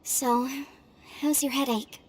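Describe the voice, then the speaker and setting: An actress playing a caring, brisk and protective young sister in a game, speaking plainly. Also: female voice, high-pitched, fairly even intonation, a little mellow, a little hiss or hum, poor audio. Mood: concerned. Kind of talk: English game voice acting.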